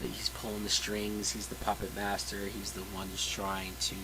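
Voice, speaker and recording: A man's voice: on the low side, monotone, normal speed, background noise. A man reviewing a movie in a casual, opinionated way, with emphatic, blunt and sweary delivery. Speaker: film reviewer.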